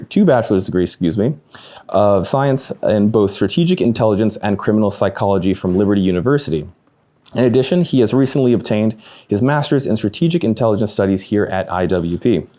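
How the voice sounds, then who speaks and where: A man's voice: fairly low pitch, warm tone. A man hosting a lecture, reading announcements and a speaker introduction, stumbling and correcting himself now and then. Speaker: event host. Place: lecture room.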